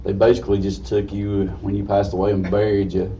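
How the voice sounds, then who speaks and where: A man with a slightly raspy voice gives an informal history lecture in a folksy, halting way. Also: on the low side, flat delivery, warm tone. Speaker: guest lecturer. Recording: distant mic, dull muffled sound, faint noise.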